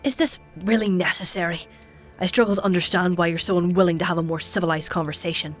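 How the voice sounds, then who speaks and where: Woman playing a brisk, low-voiced healer. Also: steady tone, soft background music, natural voice. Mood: impatient. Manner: matter-of-fact, insistent, formal wording. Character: brisk, clever, defiant female healer in dark fantasy. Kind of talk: audio drama acting.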